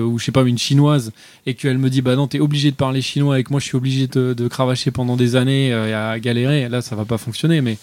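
Brief: man talking at length, disagreeing politely, mid pitch, dry close recording. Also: darkish tone, no room sound. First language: not French. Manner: argumentative, apologetic, rambling. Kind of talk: casual debate.

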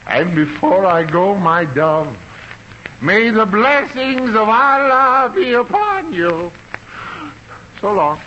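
An actor playing a lovestruck, flowery suitor, in 1940s radio sitcom style. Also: male voice, sits high, sing-song, slow pace, pushed and bright, heavily acted. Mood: mournful, florid. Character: lovestruck, flowery, melodramatic Middle Eastern suitor. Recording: noisy, dull old radio broadcast recording.

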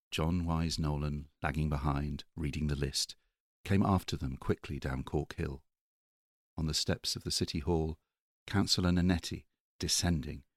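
A man's voice: very deep voice, no room sound, studio quality. A man reading a novel aloud as an audiobook narrator. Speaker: audiobook reader. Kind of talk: literary narration.

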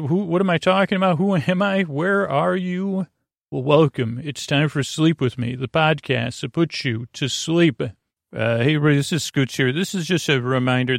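A man with a creaky voice hosting a sleep podcast, meandering and hesitant as he drifts into tangents to lull listeners. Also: mid-range voice, no echo, clean studio sound. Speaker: podcast host. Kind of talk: rambling bedtime monologue meant to lull listeners to sleep.

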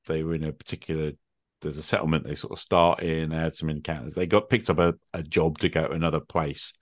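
A man's voice: low-pitched, fairly bright tone, no echo, clean studio sound. A man in an unscripted discussion, explaining his thoughts reflectively as a game master and often checking in with rhetorical questions.

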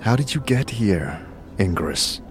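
A man's voice: lowish voice, animated, measured pace, slight background noise. A man playing a concerned, puzzled male wanderer in an audio drama.

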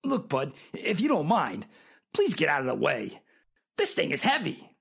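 A man playing a rough, dim crook in a 1940s style radio drama, with slangy, ungrammatical street talk. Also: on the high side, huge pitch swings, moderate pace, a bit bright, full character voice. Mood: brusque.

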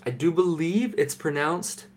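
A young Canadian man co-hosting a podcast from home, deadpan and mock-serious, with halting restarts. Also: fairly high pitch. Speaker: podcast co-host. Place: home, remote recording.

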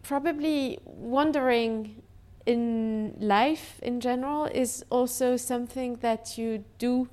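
High-voiced, slow-speaking woman interviewer. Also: darkish tone. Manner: long, carefully built questions. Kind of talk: measured interview questions.

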